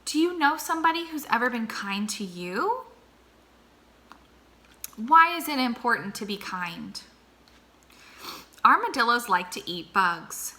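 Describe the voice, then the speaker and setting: A woman teacher reading a picture book aloud to children, slow and expressive, then posing questions to them. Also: fairly high pitch. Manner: slow, expressive and warmly animated.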